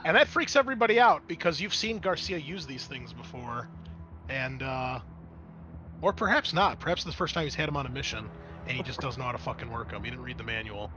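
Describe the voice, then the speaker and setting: A man's voice: medium pitch, expressive, brisk, lo-fi sound, over music. A man working as game master, narrating a tabletop roleplaying game in a casual, off-the-cuff way.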